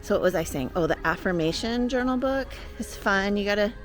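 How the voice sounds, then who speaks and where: A woman with a mid-pitched voice talks to viewers in a casual shopping vlog. She gushes with enthusiasm as a co-host would. Music plays clearly behind her. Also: expressive, a little fast. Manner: gushing, enthusiastic.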